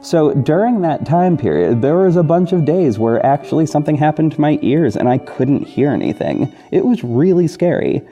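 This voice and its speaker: A man hosting a casual, unscripted podcast update, talking in a rambling, halting and effusive way. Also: fairly high pitch, expressive, dark tone. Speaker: podcast host and audio drama creator. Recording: dull muffled sound with music bed. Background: backing music.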